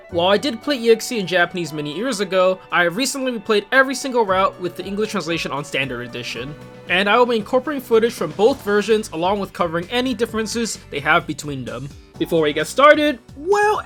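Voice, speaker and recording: Fast, high-voiced man narrating over music. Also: very animated, a bit bright. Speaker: video presenter. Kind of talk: scripted video review narration. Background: game soundtrack music.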